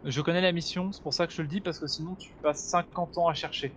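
A man talks through a co-op game, pointing things out and explaining how it works. His voice sits a little high, and a faint hiss runs under the recording. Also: steady tone, quick pace, a bit bright. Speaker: gamer, co-host of a gaming channel. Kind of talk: casual co-op gameplay chat.

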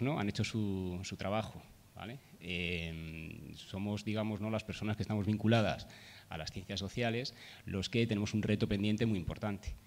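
A man gives a conference talk at a table microphone in an event room, pausing often and tagging his sentences with "¿no?". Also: on the low side. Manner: hesitant, many filler "¿no?" tags. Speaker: speaker. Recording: long hall reverb.

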